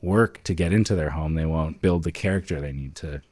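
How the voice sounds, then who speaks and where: A man co-hosting a podcast, talking in a reflective, conversational way with earnest, deliberate reasoning. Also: extremely low pitch, darkish tone, dry recording. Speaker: podcast co-host.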